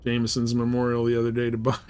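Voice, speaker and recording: A man talks through his game session as a streamer. His mid-pitched voice rambles along in loose, easygoing chat, with the odd bit of crude slang. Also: completely flat delivery, quick pace, a little mellow, smooth. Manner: rambling, easygoing, mildly profane. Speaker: live streamer playing a space game. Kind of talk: casual gameplay chat.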